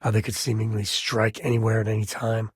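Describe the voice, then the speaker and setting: An actor playing an easygoing, wry spacefaring cowboy and devoted father in a video game, with a husky voice and folksy, drawling, dryly sarcastic phrasing. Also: on the low side, little pitch movement, fairly quick, dry recording. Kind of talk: video game character dialogue.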